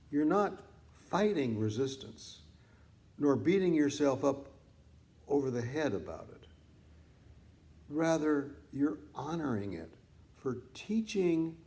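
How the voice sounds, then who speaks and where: A man narrates a mindfulness audiobook at an unhurried pace, with a soft-edged, mellow tone. His pitch moves expressively across deliberate phrases, and he leaves measured pauses between them. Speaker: author reading own book on mindfulness. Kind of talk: scripted audiobook narration, meditation teaching.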